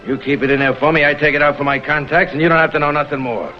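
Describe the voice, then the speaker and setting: A man's voice: fairly high pitch, fairly even intonation, rapid-fire, close to an everyday voice. A man playing a menacing criminal dealer in a vintage TV crime drama, curt, streetwise and threatening. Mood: cold, firm. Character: menacing male criminal dealer bullying his partner. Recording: dull vintage TV soundtrack, faint music.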